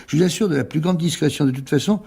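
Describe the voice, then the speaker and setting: A man's voice: fairly high pitch, fast, vocal fry, mellow, breathy edge. An actor playing a jovial, insistent older businessman in a French radio mystery, effusive and patronizing, repeating himself as he presses his point. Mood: chummy, conspiratorial.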